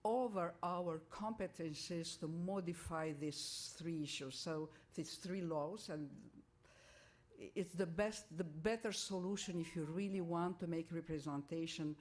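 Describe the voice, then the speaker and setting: A woman's voice: fairly low pitch, unhurried, darkish tone. Careful woman councillor searching for words. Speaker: city councillor.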